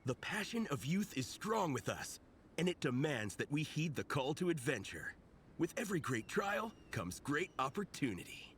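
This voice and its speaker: An actor playing a zealous, grandiose young fan club member in a video game, declaiming pompously. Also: male voice, on the high side, sing-song, moderate pace, fairly bright tone, a little hiss or hum. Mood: heroic passion.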